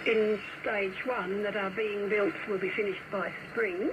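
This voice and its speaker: A woman's voice: mid-range voice, steady tone, unhurried, highs cut off. A woman being interviewed on the radio, speaking in a thoughtful, hesitant way. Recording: very noisy shortwave radio reception. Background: loud radio static and noise.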